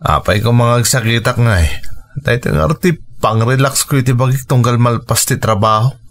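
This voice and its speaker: A man's voice: mid-range voice, natural voice. A man playing a stubborn, hard-drinking father in a radio drama, bitter and defensive, grumbling as he talks. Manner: slow, grumbling, groaning drunkard.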